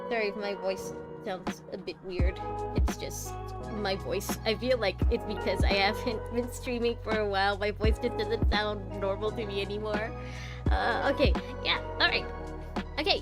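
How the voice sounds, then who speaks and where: A woman's voice: medium pitch, normal speed, over loud music. A young woman streaming a game, chatting casually and animatedly with her viewers, laughing often and greeting them by name. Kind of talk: casual live-stream chat.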